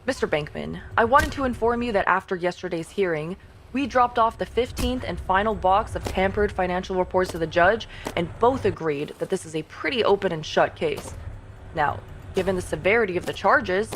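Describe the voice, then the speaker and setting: A woman's voice: mid-range voice, expressive, a little fast, natural voice, poor audio. A businesslike woman investigator from a government agency , played by an actress. She is a game character, heard with some noise in the background. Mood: formal, stern. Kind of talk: game dialogue acting.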